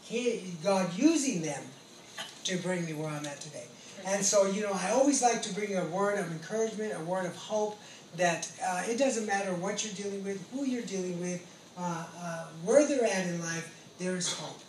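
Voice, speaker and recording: A man's voice: high voice, moderate pace. The sound is roomy and a little distant, with faint noise behind it. An American man in his late forties preaches in testimony style. He is earnest and emphatic, circling back to repeat his phrases for weight. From California, United States. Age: late 40s. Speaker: pastor and ex-convict preacher. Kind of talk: testimony sermon. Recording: roomy echo, distant mic, background noise.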